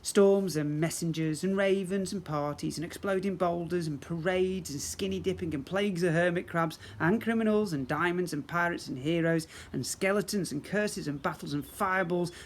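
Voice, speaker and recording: A man's voice: a little high, a little fast, hardly any pauses. A man narrating a children's story expressively, as a teacher reading to young pupils. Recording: faint background noise.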